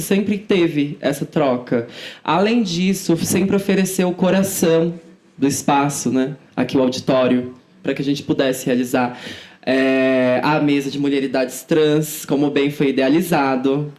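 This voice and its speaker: Young woman, somewhat low-pitched informal speaker. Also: mellow. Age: 20s. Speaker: podcast guest, student activist. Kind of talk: conversational interview answers.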